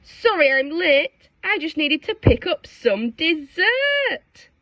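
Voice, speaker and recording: A woman's voice: high-pitched, sing-song, slow pace, no room sound, highs cut off, voice pushed up, heavily acted. A woman voicing a confident, unflappable male potato superhero in a children's storybook, in a heroic manner.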